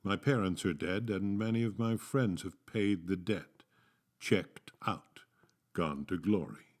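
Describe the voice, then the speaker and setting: A man reciting poetry as an actor, in a solemn, measured, dramatic delivery. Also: sits low.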